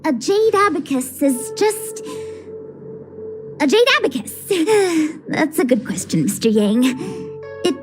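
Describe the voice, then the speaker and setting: A woman's voice: sits high, sing-song, slow, long pauses, full character voice. A woman voicing an easygoing young female diviner who explains things in a casual, breezy way in a video game. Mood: breezy, explanatory. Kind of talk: scripted video game voice acting. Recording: music under the voice.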